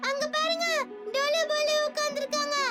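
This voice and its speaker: A woman's voice: extremely high pitch, level tone, brisk. A woman voicing a chirpy, curious, kind little girl in a children's cartoon, speaking expressively. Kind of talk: children's cartoon dub acting. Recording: music under the voice.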